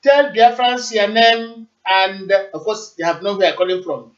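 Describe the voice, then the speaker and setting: A man's voice: sits high, moderate pace. A man hosting a live call-in radio stream, politely taking callers and switching between Igbo and English. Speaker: radio host. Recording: muffled lo-fi stream.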